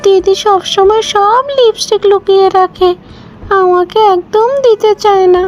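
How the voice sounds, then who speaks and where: Very high, plaintive little girl voiced by a woman. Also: steady tone, a little slow, a little mellow, soft background music. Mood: sad. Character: wistful little twin girl pleading for lipstick. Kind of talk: children's cartoon voice acting.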